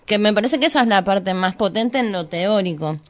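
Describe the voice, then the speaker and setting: A woman's voice: mid-range voice, lively intonation, brisk. A woman with an Argentine Spanish accent interviewing in a casual discussion, rambling tentatively and checking for agreement. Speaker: radio show interviewer.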